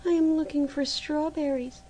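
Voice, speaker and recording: A woman voicing a gentle, mistreated young girl who speaks softly and pleads timidly. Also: sits high, steady tone, normal speed, voice pushed up, lightly acted. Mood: pleading.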